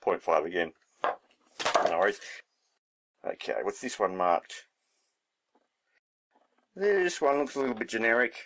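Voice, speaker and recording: Low-pitched man, casual demo. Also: very animated, unhurried, pauses often, a bit bright, slight background noise. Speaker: hobbyist presenter. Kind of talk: casual hands-on demonstration, thinking aloud.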